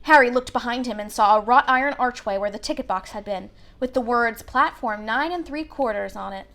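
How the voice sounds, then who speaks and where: A woman narrating a children's novel aloud in an expressive storybook style. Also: a little high, a little fast.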